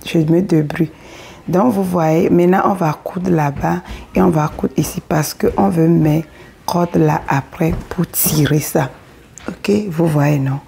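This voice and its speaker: A woman's voice: sits low, steady tone, unhurried, warm tone, a little hiss or hum. A woman with a cold, speaking French as a second language, explaining step by step how to cut and sew a kaftan. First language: not French. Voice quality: husky, gravelly. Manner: plain step-by-step explaining, often asks the viewer if they follow. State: has a cold, hoarse. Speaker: sewing tutor. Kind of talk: casual sewing tutorial. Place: indoors at a table.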